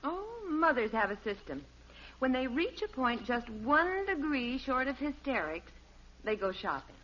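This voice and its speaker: A patient American housewife who chides gently, in vintage radio family comedy style. The voice is a little high with lively intonation. The sound is dull, like an old broadcast. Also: female voice, a little slow, heavily acted. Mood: calm, wry. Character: patient, gently chiding suburban wife and mother. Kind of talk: 1950s radio sitcom acting.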